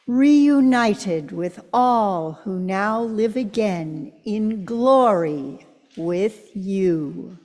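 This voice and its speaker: Slow, measured woman reading a prayer. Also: mid-range voice, a little mellow. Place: church sanctuary. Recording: long church reverb.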